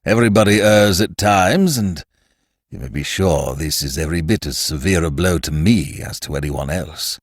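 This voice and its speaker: A plump, jovial older schemer , as a male reader puts him on, purring his words in a low, throaty drawl. The delivery stays deep and lilting, close and dry. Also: normal speed, no echo. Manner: throaty complacent purr.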